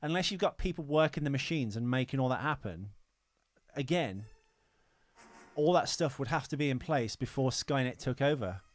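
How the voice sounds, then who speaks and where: A man's voice: medium pitch, animated, normal speed, no echo. A British man co-hosting a podcast in casual film-review chat, rambling, opinionated and prone to swearing. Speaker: podcast co-host.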